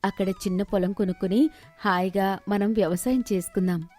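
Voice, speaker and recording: A woman's voice: medium pitch, brisk, mellow, faint music behind, lightly acted. A woman voicing a poor gardener's supportive wife in a moral story, respectful and earnest. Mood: amazed, hopeful. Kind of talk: dramatised moral storybook dialogue.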